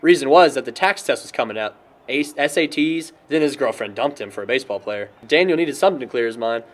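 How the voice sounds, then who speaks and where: A man's voice: mid-range voice, animated, quick pace, lightly acted. A young American man acting a sombre friend telling stories in conversational film dialogue. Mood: reflective. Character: sombre young friend recounting a classmate's tragic downfall.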